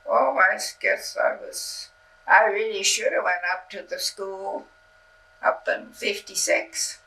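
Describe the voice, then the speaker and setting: An older man whose first language was Swiss German, reminiscing in a halting, rambling way in an oral-history interview, often trailing off mid-sentence. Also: sits high, gravelly, sharp tone. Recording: distant mic on old videotape.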